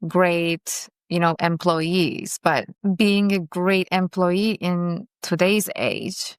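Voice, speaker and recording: Smooth-voiced woman. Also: on the low side, dry recording, clean studio sound. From Turkey. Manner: earnest, optimistic, speaks in short phrases with pauses. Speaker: vlogger reacting to a podcast. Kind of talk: casual video commentary.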